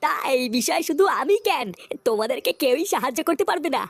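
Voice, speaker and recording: A man voicing an evasive cartoon village headman with an eastern Bengali dialect, drawn-out rhetorical questions and a pitch-shifted voice. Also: animated, vocal fry, background noise, exaggerated voice. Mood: dismissive. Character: evasive village headman, self-excusing and unhelpful. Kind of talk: comic cartoon dubbing.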